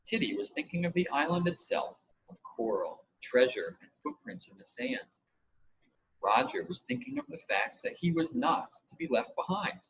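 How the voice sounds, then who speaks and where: A man's voice: medium pitch, fairly bright tone. A man narrating a children's storybook expressively, as an audiobook reader. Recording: muffled home recording with hiss.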